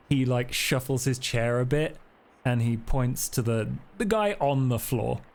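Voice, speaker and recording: A man's voice: medium pitch, measured pace, darkish tone. A man acting as game master, improvising narration for a tabletop role-playing game.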